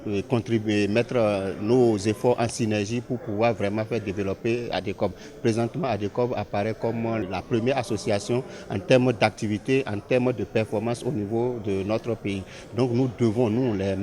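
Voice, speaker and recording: A man with a Beninese accent, a local elected official, searching for words in a halting news interview statement. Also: lowish voice, mellow.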